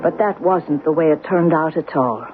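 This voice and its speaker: An actress playing an older Irish woman who gravely tells family secrets in deliberate, lilting radio-drama storytelling. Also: sits low, over loud music, lightly acted. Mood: grave, knowing. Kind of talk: old-time radio drama acting. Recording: tinny, noisy old radio broadcast.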